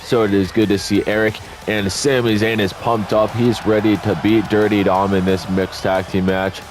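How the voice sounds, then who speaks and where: A man's voice: lowish voice, level tone, moderate pace. Congested, tired-sounding man commentating a game. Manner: chatty, rambling asides, self-corrections. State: congested and tired, just woke up. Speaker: YouTube gaming commentator. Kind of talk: casual play-by-play commentary over a wrestling video game. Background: faint game music.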